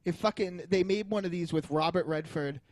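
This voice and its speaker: A man's voice: high-pitched, brisk, darkish tone, no room sound. A man co-hosting a podcast, arguing about film in an emphatic, combative way with plenty of swearing. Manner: argumentative, emphatic, profane. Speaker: podcast co-host. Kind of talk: heated film review discussion.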